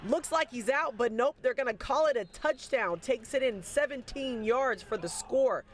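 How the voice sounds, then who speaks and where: A woman sports reporter reading game highlights in a steady newscast delivery. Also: normal speed. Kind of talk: scripted highlight narration.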